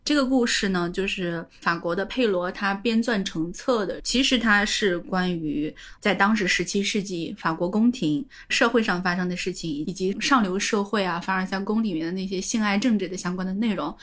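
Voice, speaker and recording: A woman's voice: medium pitch, normal speed, few pauses, a bit bright. A woman co-hosting a talk show, explaining stories and history in a conversational, orderly way. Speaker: podcast co-host.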